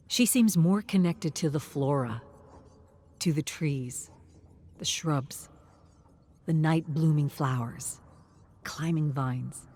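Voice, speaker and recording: A woman reading a scripted story in a dramatic, deliberate way, at times dropping to a hushed tone. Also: low-pitched, slightly rough. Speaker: narrator.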